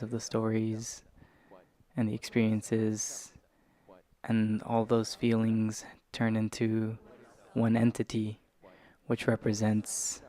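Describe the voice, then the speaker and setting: A man reflecting aloud on personal ideas in measured, poetic phrases. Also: lowish voice, dark tone.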